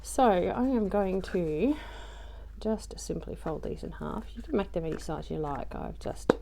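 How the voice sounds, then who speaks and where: A woman's voice: lowish voice, lively intonation, measured pace, gravelly, mellow, a little hiss or hum. A woman casually talking through a craft project at home, rambling with lots of ums and little self-deprecating asides. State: voice just recovered from loss. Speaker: craft journaling vlogger.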